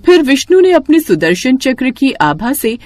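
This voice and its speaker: A woman narrating a scripted devotional bedtime story in an expressive, reverent manner. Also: sits high, normal speed, no room sound.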